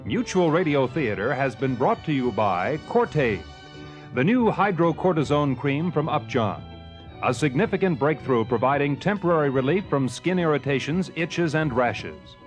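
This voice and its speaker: A man's voice: a little high, animated, moderate pace, faint music behind. A man reading a scripted sponsor message as a radio announcer.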